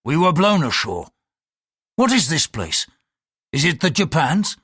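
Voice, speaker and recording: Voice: mid-range voice, big pitch swings, measured pace, a bit bright, breathy edge, dry recording, clean studio sound, voice pushed up, heavily acted. An audiobook reader voicing a bold, taunting ship's pilot who talks bluntly and defiantly. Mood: defiant, questioning.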